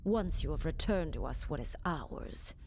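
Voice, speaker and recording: Menacing alien warlord, slow deliberate threats, music behind. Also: female voice, low voice, expressive. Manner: grim, imperious threats. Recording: game cutscene with loud score.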